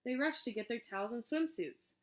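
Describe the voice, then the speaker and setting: A woman narrating a children's storybook aloud in an expressive, scripted read-aloud style. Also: on the high side, fairly quick. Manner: expressive storybook reading with character dialogue.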